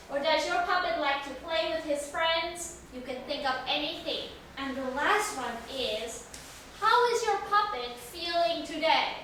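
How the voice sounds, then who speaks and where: A woman teacher giving children simple, clearly phrased instructions and questions in a classroom. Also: high-pitched, bright, forceful tone, slight background noise. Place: indoor classroom. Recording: echoey classroom, distant mic, children chattering. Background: children's voices.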